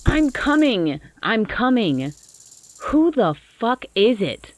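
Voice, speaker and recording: A woman playing a gruff, wary cabin dweller, blunt and grumpy, in a horror audio roleplay. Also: on the high side, very animated, slow pace, no room sound. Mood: angry, suspicious. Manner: blunt, grumpy, foul-mouthed.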